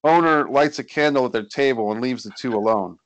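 A man's voice: mid-range voice, a little fast, no room sound. A man reading stage directions as scripted narration at a screenplay table read, recorded indoors over a video call.

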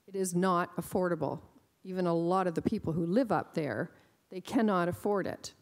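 A woman's voice: lowish voice, moderate pace, in a large hall. A woman chairing a council committee, speaking firmly and pressing a point about housing. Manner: emphatic, firm. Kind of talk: council meeting remarks. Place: council chamber.

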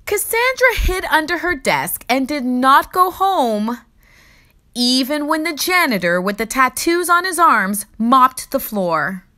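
A woman reading a children's story aloud in expressive narration, with deliberate, theatrical emphasis. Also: high voice.

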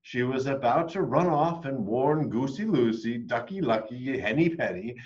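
An American man in his forties reading a children's storybook aloud with animated expression, recorded on a camera close by. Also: medium pitch, moderate pace, highs cut off. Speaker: storybook reader for a school.

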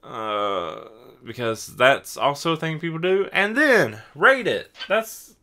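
A man's voice: a little high, big pitch swings, slow, pushed and bright. A young man hosting a podcast, chatting casually in a rambling, self-deprecating way while a little tipsy. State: tipsy from drinking. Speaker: podcast host.

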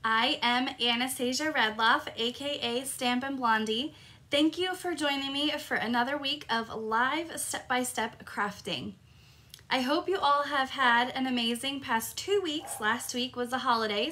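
A woman in her thirties hosting a live crafting tutorial from her home craft room, upbeat and a little hoarse, greeting viewers by name. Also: on the high side, measured pace, sharp tone. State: slightly hoarse, recovering from lost voice. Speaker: crafting demonstrator and host.

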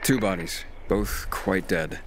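An actor playing a grim, weary young survivor in a video game, blunt and understated. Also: male voice, low-pitched, takes it slowly, a little hiss or hum. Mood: somber.

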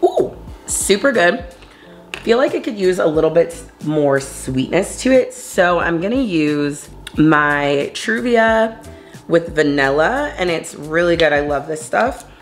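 A woman's voice: lowish voice, lively intonation, slow. A young woman vlogging from her home kitchen, chatty and bubbly, calling viewers babes and honey. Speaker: lifestyle vlogger. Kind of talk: casual chat to camera. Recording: echoey kitchen, soft background music.

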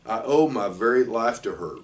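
An older man voicing a gentle, devoted pig from a storybook, with an earnest, polite and formal manner. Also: lowish voice, expressive, moderate pace, natural voice. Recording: distant microphone in a room.

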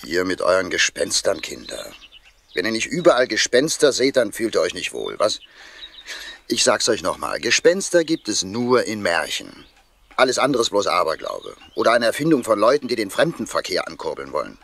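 A man's voice: fairly low pitch, huge pitch swings, normal speed, gravelly, a bit bright, full character voice. An actor playing a no-nonsense, sceptical father in a children's audio play, matter-of-fact and lecturing.

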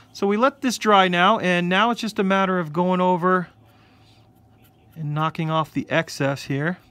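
A man hosting a casual scale-model painting tutorial, explaining each step in a relaxed way. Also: a little high, a little hiss or hum. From United States. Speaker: hobby shop host. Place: hobby workbench indoors.

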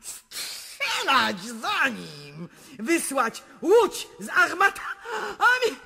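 An actress playing an imperious, lustful and volatile Russian empress in a radio drama, with a commanding theatrical manner. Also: female voice, high-pitched, huge pitch swings, very slow, creaky, heavily acted. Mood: scornful, furious. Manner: commanding, theatrical, swinging between murmurs and shouts.

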